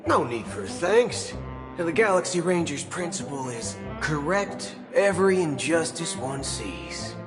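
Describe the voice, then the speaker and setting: A man's voice: on the high side, lively intonation, a little slow, over music. A man voicing a brash, folksy cyborg space cowboy in a video game, with a drawl.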